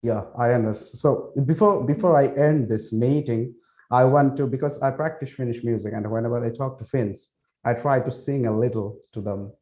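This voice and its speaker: A man's voice: mid-range voice, moderate pace, warm tone. A man hosting a podcast interview in casual, chatty talk, often repeating himself. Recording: roomy, echoing sound.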